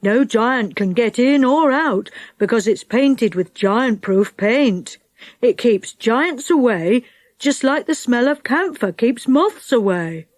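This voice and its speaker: Woman voicing an excitable, eager fantasy fellow. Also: a little high, slow, no room sound. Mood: explaining, impressed. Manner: exclamatory, urgent. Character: excitable adventurous male fantasy companion, bossy and eager.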